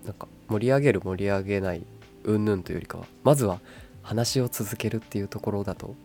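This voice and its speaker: A young adult woman chatting casually as a radio co-host in a calm, boyish, wry manner. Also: a bass voice, fast talker, faint music behind. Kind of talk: casual chat.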